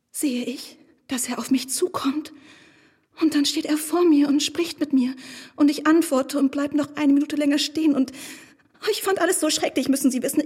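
An actress playing a timid, excitable young woman in a radio play, telling a story in a breathless, flustered way. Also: high-pitched, natural voice. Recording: radio play with long reverb.

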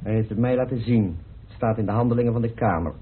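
A man's voice: fairly low pitch, quick pace, slight background noise, close to an everyday voice. An actor in a radio play voicing a grave, sober man. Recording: muffled phone-like old radio recording.

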